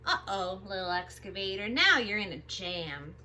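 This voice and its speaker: A woman reading aloud to young children as a storytime host, expressive and sing-song, drawing out the sound words. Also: mid-range voice, moderate pace, a little hiss or hum. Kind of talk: animated picture-book read-aloud for young children.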